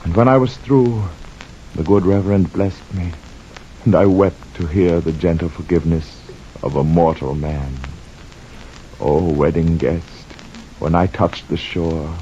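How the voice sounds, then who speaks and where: A man's voice: low-pitched, mellow. An actor playing a haunted, penitent mariner who tells his tale in grave, poetic radio-drama verse. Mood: weary reverence. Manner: slow, solemn, impassioned, poetic delivery. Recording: dull lo-fi radio recording, clear noise.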